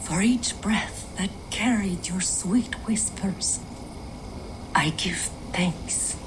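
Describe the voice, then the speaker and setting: An actress playing a grieving Norse widow in a game, speaking in a hushed, whispering farewell. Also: female voice, mid-range voice, drawn-out words, rough recording. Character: grieving Norse widow mourning her lover.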